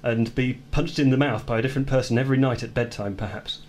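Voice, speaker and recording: An actor playing a brooding man who confesses violent fantasies of retribution in a deliberate, intense radio play monologue. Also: mid-range voice, steady tone, darkish tone, close to an everyday voice. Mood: grim, vengeful.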